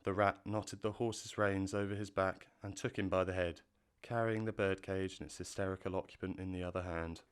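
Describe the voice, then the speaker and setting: A man giving scripted storybook narration in a measured, literary way, as narrator of an audio drama. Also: low-pitched, fairly even intonation, clear, resonant tone.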